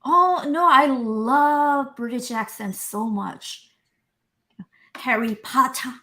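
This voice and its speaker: Bright-voiced woman in her thirties. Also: high-pitched, slow pace. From South Korea. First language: Korean. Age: 30s. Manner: giggly, hesitant, searches for words. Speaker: guest. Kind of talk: casual interview chat.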